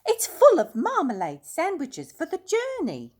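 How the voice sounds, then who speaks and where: A woman voicing a polite, excitable young male bear in a storybook reading, earnest and full of exclamation. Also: extremely high pitch, a little slow, voice pushed up, heavily acted. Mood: alarmed.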